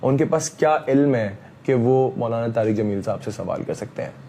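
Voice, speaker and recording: A man, speaking emphatically as an interview guest, repeating himself and often pausing on fillers. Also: mid-range voice, dark tone, slight background noise. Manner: emphatic, repetitive, frequent fillers. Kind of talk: heated interview talk.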